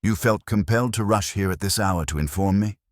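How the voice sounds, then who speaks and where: Man playing a very deep, imperious detective genius. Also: fairly quick, no room sound, clean studio sound, close to an everyday voice. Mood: cool, dismissive. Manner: pompous, precise, formal. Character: imperious, coolly logical male detective genius.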